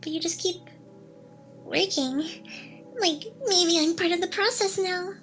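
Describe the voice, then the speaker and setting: A woman playing a playful, possessive female AI hacker in love, in an intimate ASMR roleplay with breathy sighs and soft teasing. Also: very high-pitched, steady tone, unhurried, faint music behind. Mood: tender, possessive.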